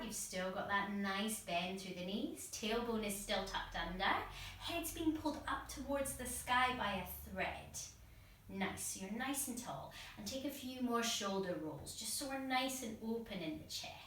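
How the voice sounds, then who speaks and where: A woman's voice: a little high, a bit bright, slight background noise. Woman instructor in a very echoey room. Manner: encouraging, step-by-step, demonstrates as she talks. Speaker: voice and drama workshop instructor. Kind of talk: guided warm-up instruction. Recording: very echoey room, distant mic.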